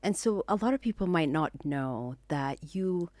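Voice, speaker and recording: A woman's voice: sits low, expressive, unhurried, a little mellow, no echo. A woman hosting a podcast, opening the episode and asking questions in an upbeat, welcoming way. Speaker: podcast host. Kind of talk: casual interview.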